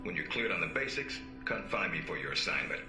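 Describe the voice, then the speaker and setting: An actor playing a stern, dry-witted middle-aged spy agency handler in a video game, measured and authoritative. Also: male voice, low voice, sing-song. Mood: grave, encouraging. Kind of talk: video game cutscene acting. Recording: roomy sound with music behind.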